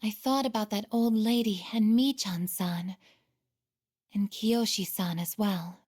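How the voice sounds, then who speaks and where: A woman playing a gentle, earnest android girl in a video game, speaking politely and formally with soft introspection. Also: mid-range voice, steady tone, a little slow, slightly rough. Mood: reflective, solemn.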